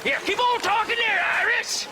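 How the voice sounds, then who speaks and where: An actor playing a threatening, smug frontier outlaw in a western video game. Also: male voice, very high-pitched, normal speed, noisy background. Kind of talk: game character acting.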